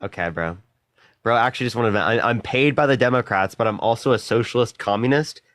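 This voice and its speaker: A man's voice: medium pitch, fast talker, a bit bright, no room sound, studio quality. A man hosting a live stream, talking casually and thanking viewers over and over between debates with callers. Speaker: livestream host.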